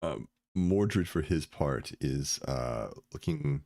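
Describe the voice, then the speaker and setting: Very deep-voiced male game master. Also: expressive, gravelly, mellow, no echo, clean studio sound. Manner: hesitant, many pauses and fillers. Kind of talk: narrating a tabletop game.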